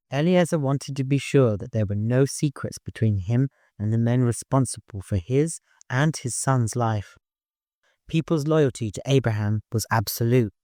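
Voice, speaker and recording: A man's voice: medium pitch, a little mellow, no room sound, clean studio sound. A man reading scripted literary fiction as an audiobook narrator.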